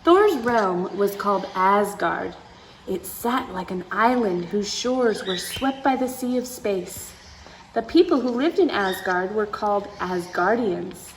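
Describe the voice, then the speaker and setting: A woman's voice: mid-range voice, noisy background. A woman reading a picture book aloud to children, with playful asides and dramatic emphasis, speaking straight to her young listeners.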